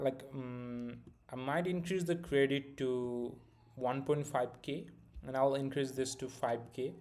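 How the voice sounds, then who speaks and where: A man's voice: mid-range voice, a little mellow. A young man with an Indian accent, hosting a live stream and chatting loosely with many fillers while demoing a product. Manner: loose, filler-heavy, restarts often. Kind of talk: casual live-stream chat and product demo.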